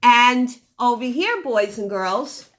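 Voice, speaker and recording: Slow, animated woman teaching young children. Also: fairly high pitch. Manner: slow, deliberate instruction, sounding words out. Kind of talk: phonics lesson for children.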